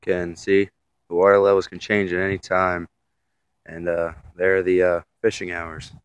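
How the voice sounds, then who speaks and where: A young man casually narrating a tour of a river dam outdoors, filming on a phone, halting with many fillers and restarts. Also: sits low, level tone, smooth, dull audio. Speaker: vlogger filming a local landmark. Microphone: phone camera held while walking.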